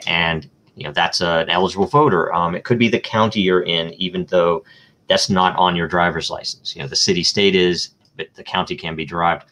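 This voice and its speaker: A man with a very low voice explains a technical idea as an interview guest. He thinks aloud, often filling pauses with ums and uhs and restarting his sentences. He works through concrete examples one step at a time. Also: fairly even intonation, fairly bright tone. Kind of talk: live online interview answers.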